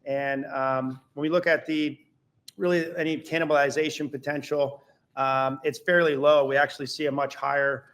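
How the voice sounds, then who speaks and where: A male company executive fields analyst questions on an earnings call. His voice sits somewhat high for a man, and he talks in upbeat, promotional corporate language. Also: little pitch movement, moderate pace, fairly bright tone.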